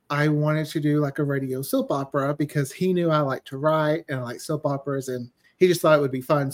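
A man speaking as a writer guest, casually recounting how his work came about. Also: fairly high pitch. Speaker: guest, audio drama writer.

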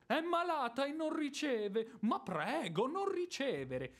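An actor playing a boorish, hot-tempered ex-officer creditor in a radio drama, sarcastic and theatrical, moving between muttering and bluster. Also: male voice, very high-pitched, very animated, slow pace, a bit bright, heavily acted. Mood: angry, stubborn. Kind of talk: radio drama stage acting.

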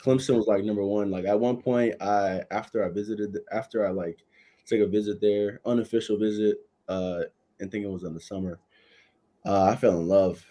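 Laid-back young man with a deep, dark voice. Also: steady tone, normal speed. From Florida. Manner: laid-back, chatty, lots of fillers. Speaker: college football player guest. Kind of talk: casual podcast interview.

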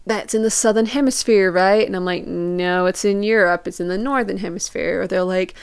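Young woman, smooth dry-sounding voice. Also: medium pitch, fairly even intonation, brisk, no room sound. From Portugal and England. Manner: informal, peppered with "like", acts out quoted questions. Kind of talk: casual chatty storytelling.

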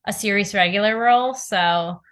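A woman in her thirties from Norway, an actor chatting casually as an interview guest, full of "like" and quick laughs. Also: medium pitch, a bit bright.

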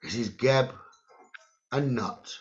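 A man leading a guided meditation in short, halting phrases with long pauses and a creaky voice. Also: medium pitch. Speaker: guided meditation leader, psychic medium. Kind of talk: slow guided meditation narration. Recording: dull, lo-fi sound.

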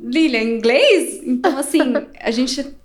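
Woman, Brazilian accent, high voice, animated, casual storytelling, podcast chat. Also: a little slow. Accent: Brazilian Portuguese. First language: Portuguese. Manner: animated, acts out quoted voices. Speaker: podcast guest, English teacher.

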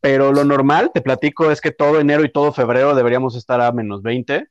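A man in his late thirties with a Mexican accent, hosting a relaxed interview podcast in a chatty, slangy way. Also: mid-range voice, quick pace, smooth, dry recording, lo-fi sound. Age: late 30s. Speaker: podcast host. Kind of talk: casual interview chat.

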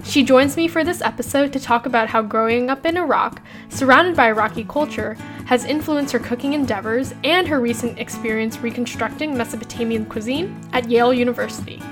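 Woman podcast host, reads her script steady and measured, music playing under her, a bit higher voice. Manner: measured, read-aloud delivery. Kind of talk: scripted narration and interviewing.

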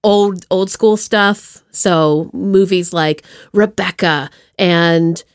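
A woman hosting a podcast, chatting and asking questions in an informal, slangy, expressive way. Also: on the low side, extremely slow, smooth, no echo, clean studio sound. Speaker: podcast host. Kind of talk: casual chat, interviewing.

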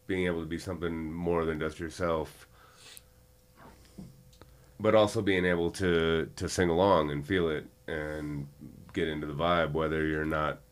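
A man's voice: extremely low pitch, fairly even intonation, unhurried. A man in a band explaining thoughtfully and earnestly during a casual interview.

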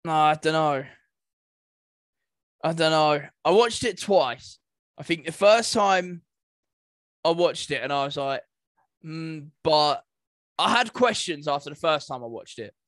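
A man's voice: a little high, animated, measured pace, long pauses, a bit bright, dry recording, clean studio sound. A man in casual podcast conversation as co-host, thinking aloud with some hesitation. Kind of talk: casual chat about video game movies.

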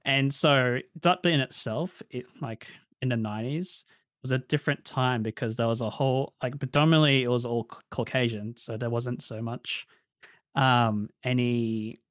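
A man's voice: medium pitch, steady tone, normal speed, fairly bright tone, dry recording. Reflective Australian man, dry sound. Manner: reflective, many fillers like "like" and "um". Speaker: interviewee. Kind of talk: casual interview answers.

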